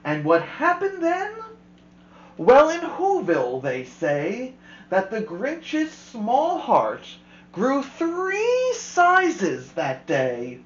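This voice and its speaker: Expressive woman reading a rhyming storybook. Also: fairly high pitch, extremely slow, roomy sound, lo-fi sound. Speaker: storyteller host. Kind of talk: reading a children's picture book aloud.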